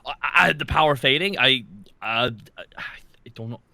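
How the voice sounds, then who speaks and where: A man's voice: mid-range voice, a little slow, gravelly, bright, forceful tone, no echo. A man playing a tabletop role-playing game, talking casually and describing what his character does. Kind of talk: animated casual game chat.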